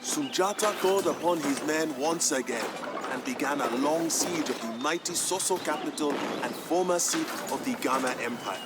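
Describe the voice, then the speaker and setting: An actor playing a proud royal griot, narrating a strategy game's campaign story in a grand, measured and emphatic manner. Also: male voice, a little high, heavy background noise, lightly acted. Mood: grave, resolute. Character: proud male griot, a king's humble family historian, solemn storyteller. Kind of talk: dramatic scripted narration for a strategy game campaign. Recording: music behind the voice. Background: background music.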